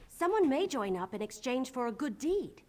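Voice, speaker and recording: Spunky young elf girl adventurer, video game, high bouncy voice, kinda wry. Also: very animated, normal speed, darkish tone. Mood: plucky, wry. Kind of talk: video game character acting.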